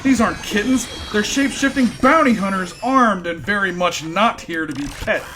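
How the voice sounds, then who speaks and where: A man's voice: high-pitched, normal speed, pushed and bright, slight background noise. A man narrating a sci-fi radio comedy in a wry, dry scripted delivery.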